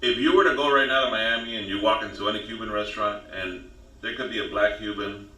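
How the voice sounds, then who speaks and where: Male podcast guest, emphatic and preachy, bright voice, far from the mic. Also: on the low side, fast talker. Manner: emphatic, preachy, repeats "okay?". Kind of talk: casual talk show chat. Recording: roomy and distant.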